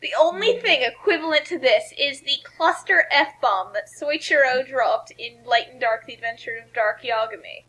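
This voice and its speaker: A woman's voice: sits high, normal speed, few pauses, sharp tone, poor audio. A woman hosting casual, riffing commentary with friends over an online voice call. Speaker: commentary host.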